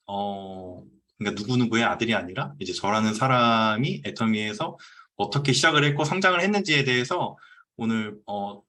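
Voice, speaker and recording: Male, 30s, lower-pitched, easygoing presenter on a video call with filler-heavy delivery. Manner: relaxed, conversational, frequent "uh" pauses. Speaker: guest speaker presenting his business story. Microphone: computer mic on a video call.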